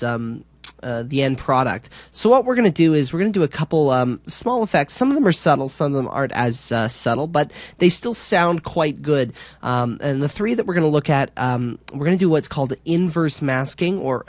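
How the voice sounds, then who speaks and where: A man giving an informal, somewhat halting software tutorial as an instructor. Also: on the high side, no room sound.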